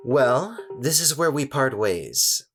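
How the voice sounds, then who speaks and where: A man voicing a haughty, cold, dismissive elite high-school student in a game dub, condescending and curt. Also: big pitch swings, slow pace, over music. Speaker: voice actor in a fan dub.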